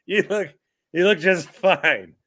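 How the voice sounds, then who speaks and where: A man's voice: sits high, takes it slowly, a bit bright. A man hosting a casual sports podcast stream, joking and chatty, poking fun at himself. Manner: joking, self-deprecating, chatty. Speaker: podcast host.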